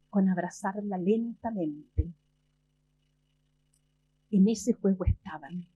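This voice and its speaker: A woman's voice, very dark and soft-edged, a little husky, reads her poems slowly and deliberately with long pauses between phrases. The recording is muffled and lo-fi, like a small library event taped from the room. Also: mid-range voice. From Chile. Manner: slow, measured recital with long pauses. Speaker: poet. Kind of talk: slow poetry reading and talk.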